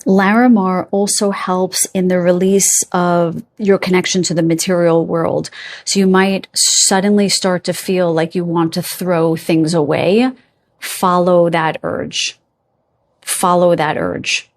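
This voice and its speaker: A woman with a fairly low voice delivers a psychic card reading straight to her viewers. She drifts from thought to thought, keeps checking in with "okay?", and stops now and then to hunt for a word. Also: flat delivery. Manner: meandering, reassuring, checks in with listeners; pauses to search for words. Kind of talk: spirit guide reading to camera.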